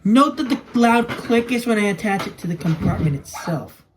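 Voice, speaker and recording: Young man with lively pitch, vlogging. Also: normal speed. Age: young adult. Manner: narrates own actions while handling toys. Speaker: toy video creator. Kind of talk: casual hands-on demonstration vlog. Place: small room at home. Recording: noisy home recording with clicks.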